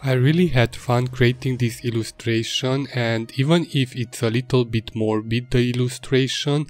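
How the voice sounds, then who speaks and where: A man whose first language is Hungarian, calmly narrating his illustration process as a voice-over. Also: mid-range voice, normal speed. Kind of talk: voice-over narration of a drawing video. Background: faint music.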